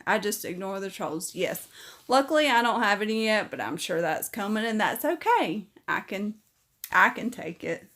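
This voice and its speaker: A woman's voice: medium pitch, sing-song, normal speed. Female livestream host, middle-aged, casual and chatty. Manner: warm, chatty, reads comments aloud and answers them. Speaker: livestream host reading viewer comments.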